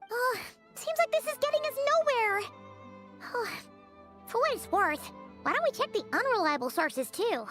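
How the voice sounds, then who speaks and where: A woman voicing a tiny, perky, impatient childlike fairy companion in a video game, squeaky and expressive. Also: a little slow, full character voice. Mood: frustrated. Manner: refers to self in third person, expressive. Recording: music behind the voice. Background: background music.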